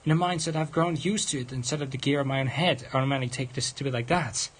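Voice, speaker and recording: A man livestreaming a visual novel, reading its text aloud in a halting, stumbling way and adding off-script comments. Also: medium pitch. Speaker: game livestreamer. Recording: music behind the voice.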